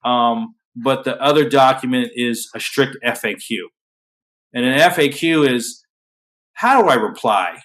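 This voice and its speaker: Brief: host, man, mid-pitched, steady unexcited delivery, lots of ums. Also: a little slow. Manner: self-described monotone, filler-heavy, restarts. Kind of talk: casual panel discussion. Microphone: video call.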